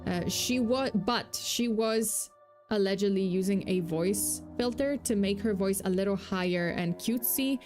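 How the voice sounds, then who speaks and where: A young woman with a touch of a Polish accent talks through a true-crime story on a livestream. Her delivery is loose and chatty, packed with fillers, restarts and asides, at an ordinary middle pitch. Faint background music sits under her voice. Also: measured pace, darkish tone. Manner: chatty, full of fillers and self-corrections, reads chat aloud. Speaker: VTuber host.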